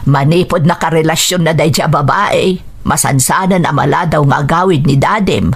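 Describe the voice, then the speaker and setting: A woman's voice: sits low, moderate pace, breathy edge. A woman playing a disapproving, suspicious grandmother in an Ilocano radio drama.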